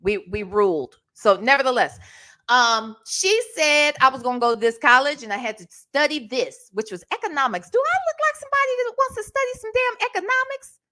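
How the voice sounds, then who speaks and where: Woman, American accent, very bright forceful tone, fairly high pitch, casual storytime chat, animated, sassy. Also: fairly quick. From Ohio, United States. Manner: animated, emphatic, sassy, conversational with tag questions. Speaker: livestream host.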